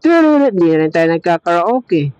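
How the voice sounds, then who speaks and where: A man's voice: high voice, very animated, fairly quick, darkish tone, dry recording, dull audio. A man with a Filipino accent in casual banter, talking into a helmet intercom headset as a vlogger.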